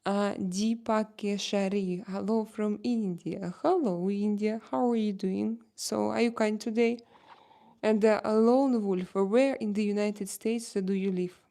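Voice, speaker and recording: A woman's voice: mid-range voice, steady tone, unhurried, vocal fry, warm tone. A young woman with a Russian accent hosting a casual live stream who reads viewer comments aloud and chats in non-native English, with plenty of fillers and restarts. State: just back from a workout.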